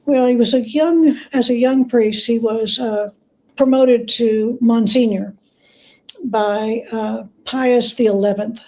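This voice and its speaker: A woman being interviewed as a biographer, telling historical anecdotes conversationally and pausing to gather her thoughts. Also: on the high side, fairly even intonation, mellow, rough recording. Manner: measured, pauses to gather thoughts. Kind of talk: conversational storytelling.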